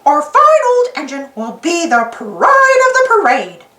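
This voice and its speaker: Woman doing a high, cheerful cartoon mouse fellow. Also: huge pitch swings, measured pace, reverberant, heavily acted. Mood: upbeat, assured. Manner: earnest, gently correcting. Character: cheerful, sensible cartoon mouse, friendly male leader.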